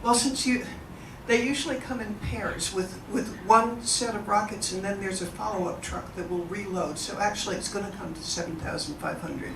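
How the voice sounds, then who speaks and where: A woman's voice: mid-range voice, moderate pace, hardly any pauses. A woman moderating an in-person event, talking to the audience in a chatty, enthusiastic way and introducing speakers. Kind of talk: informal presentation to an audience. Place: event room. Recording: roomy, distant mic, background noise.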